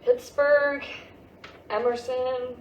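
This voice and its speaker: A woman's voice: high-pitched, flat delivery, extremely slow. A woman acting a defiant, exasperated teenage daughter in a staged play reading, recorded in a roomy theatre. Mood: sullen, defensive. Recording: roomy theatre, distant mic, faint noise.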